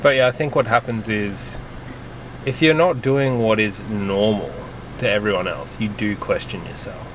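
Low-voiced young man vlogging casually. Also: moderate pace, a bit bright, slight background noise, poor audio. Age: 20s. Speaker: travel vlogger. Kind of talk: casual chat. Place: outdoors on a hiking trail and inside a car. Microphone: handheld camera at arm's length.

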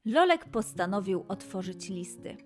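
A woman's voice: medium pitch, very animated, measured pace, faint music behind. A woman storyteller giving a scripted narration of a tale for children.

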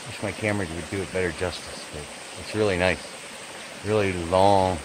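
A man's voice: deep voice, steady tone, normal speed. A man narrating his hike up a wooded gorge trail in a chatty way, filmed on a handheld camera. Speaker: hiking vlogger. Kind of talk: casual hiking narration. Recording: outdoor stream noise behind.

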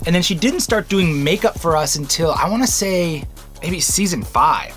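A man's voice: on the high side, animated, fairly bright tone, faint music behind. Casual man talking shop. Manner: casual, quick, offhand. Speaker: co-creator of the show. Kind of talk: behind-the-scenes interview.

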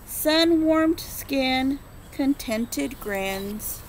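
A woman in her forties, a preschool teacher, reads a rhyming picture book aloud to children and stops to ask them gentle questions. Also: sits high, lively intonation, a little hiss or hum, poor audio. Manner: slow rhythmic rhyme reading with gentle questions to children. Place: outdoors on a front porch. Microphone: phone or laptop camera at close range.

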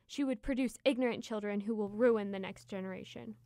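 An actress playing a haughty, scornful goddess of love in an audio drama, speaking in a formal, imperious way. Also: female voice, medium pitch, brisk, mellow, no room sound, clean studio sound, natural voice. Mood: scornful anger.

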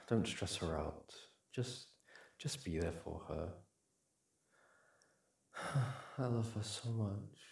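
A man acting as a doting, smitten young boyfriend on a shopping date in an audio roleplay, speaking in a hesitant, halting, affectionate way. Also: deep voice, animated, vocal fry, husky, full character voice. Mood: tender, smitten. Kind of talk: boyfriend ASMR roleplay, acted one-sided conversation.

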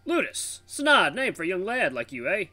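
A man's voice: high-pitched, sing-song, a little fast, sharp tone, no room sound, soft background music, pitched above the performer's own voice, heavily acted. A man voicing a hearty, folksy tiger in his fifties with a British accent, in a visual novel read-aloud. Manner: folksy, hearty, calls people lad and mate. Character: cheerful chatty tiger man in his fifties, war refugee.

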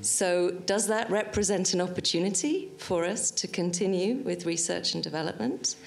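A woman's voice: fairly low pitch, normal speed. A woman putting a formal, spontaneous question to a panel in a conference auditorium. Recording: clear background noise, live room.

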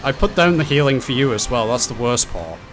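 A man's voice: medium pitch, big pitch swings, a little fast, rough recording. A man playing a co-op video game, calling out play in a casual, blunt, teasing way with some swearing. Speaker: video game player in a co-op playthrough. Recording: game music behind the voice. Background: video game music.

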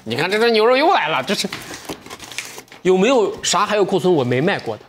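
A man's voice: high-pitched, a little fast, slight background noise. A young man hosting a livestream from an indoor studio, chatting to viewers, selling goods and talking about a book. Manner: lively, talks straight to viewers. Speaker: livestream sales host.

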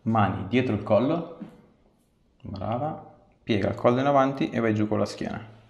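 Low, creaky-voiced man in an echoey room. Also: normal speed, highs cut off. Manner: brief, directive phrases. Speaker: chiropractor. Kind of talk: calm instructions to a patient during treatment. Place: small clinic treatment room, indoors. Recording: echoey small treatment room.

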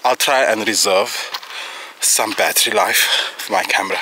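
A man's voice: lowish voice, moderate pace. A man in his thirties from South Africa, vlogging casually to a handheld camera outdoors on a mountain trail, joking at his own expense and a little out of breath. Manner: chatty, jokey, self-teasing asides. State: slightly out of breath. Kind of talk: casual vlog talk to camera. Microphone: handheld camera close to face.

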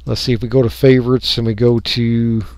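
An American man giving a casual, unscripted software walkthrough, folksy and rambling as he thinks aloud. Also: medium pitch, little pitch movement, brisk, dry recording. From United States. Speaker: radio hobbyist giving a software tutorial.

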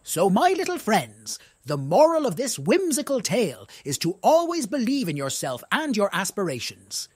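Very animated children's storyteller, husky voice. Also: medium pitch, moderate pace, few pauses, no echo. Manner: playful, exaggerated emphasis.

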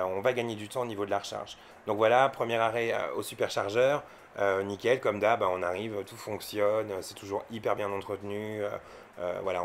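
A man's voice: lowish voice, slight background noise. A man from France giving casual, unscripted vlog commentary, explaining things informally and with some hesitation. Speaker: electric car owner and vlogger.

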